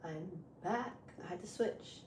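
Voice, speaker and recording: A woman live streamer with a deep voice, chatting casually with her viewers while she sets up a game. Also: measured pace. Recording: echoey room with loud background noise.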